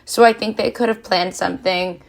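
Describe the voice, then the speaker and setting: A woman's voice: medium pitch, fairly even intonation, normal speed, vocal fry. A teenage young woman with an American accent, giving considered, explanatory opinions as a student guest in a casual panel discussion.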